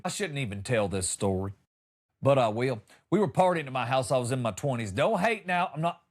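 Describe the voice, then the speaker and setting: A middle-aged man with a fairly high, very animated voice delivers a comedic monologue. His manner is folksy and colloquial, with punchy lines and mock exasperation, like a seasoned radio entertainer spinning stories. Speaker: comedy podcast host and former radio entertainer.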